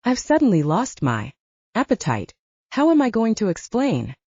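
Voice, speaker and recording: A woman voicing an anxious, affectionate young woman writer in an expressive, wry, exasperated audiobook style. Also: on the high side, moderate pace, breathy edge, no room sound, muffled recording, voice pushed up, heavily acted. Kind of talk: audiobook dialogue acting.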